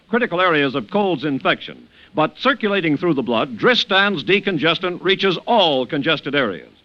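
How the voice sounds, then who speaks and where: Male commercial announcer, 1950s radio: high, animated voice, emphatic polished selling, slightly muffled vintage recording. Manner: emphatic, polished sales pitch. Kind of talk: 1950s radio advertisement read.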